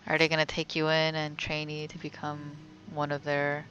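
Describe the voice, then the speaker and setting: A woman's voice: low-pitched, completely flat delivery, moderate pace, a bit bright, muffled recording, slight background noise. A woman giving casual, wry, musing commentary as she plays through a game. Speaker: gaming video host.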